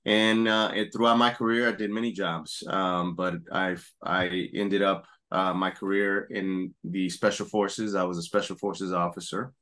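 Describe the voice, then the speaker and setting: Deep-voiced middle-aged man telling his story. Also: a little slow, hardly any pauses. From Puerto Rico. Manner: relaxed, storytelling with frequent restarts. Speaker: guest, apparel company founder. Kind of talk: conversational interview.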